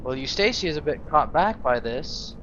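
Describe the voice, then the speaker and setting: A man hosting a gaming video, improvising role-play narration in a halting, searching storytelling manner. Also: on the high side, very animated, fairly bright tone, dull audio. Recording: noisy game audio behind voice. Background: video game ambience.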